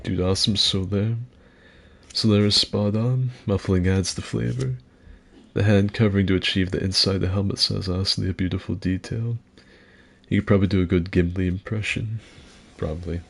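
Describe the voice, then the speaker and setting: A man streaming and chatting casually, rambling and self-deprecating, with a gruff voice. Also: low voice, steady tone, moderate pace. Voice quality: gruff, gravelly. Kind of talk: casual chat with viewers.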